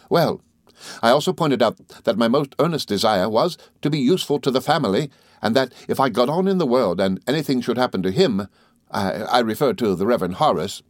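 A man acts out an earnest young gentleman of the law from a classic novel. He confides cheerfully and modestly, with polite hesitations and little stammers. The medium-pitched voice sits in a dry, roomless recording. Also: dry recording, lightly acted. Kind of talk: audiobook character dialogue.

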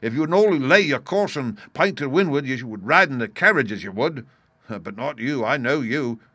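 A man voicing a scheming, swaggering pirate ringleader in a storybook, speaking forcefully in rustic seafaring dialect. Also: mid-range voice, expressive, normal speed, breathy edge, no room sound, pitched above the performer's own voice. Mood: scornful, boastful. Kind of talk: dramatised storybook reading.

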